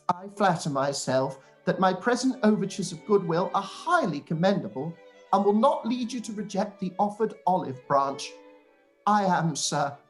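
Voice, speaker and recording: A man's voice: high voice, poor audio. A young clergyman in a period radio drama. He is pompous and fawning, delivering long formal sentences with unctuous self-satisfaction, with faint music in the recording. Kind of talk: live-read radio play, letter read in character.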